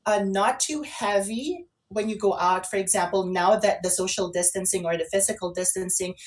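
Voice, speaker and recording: A woman's voice: medium pitch, moderate pace. A woman co-hosting a casual online talk show, explaining things and giving advice. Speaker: co-host and makeup artist.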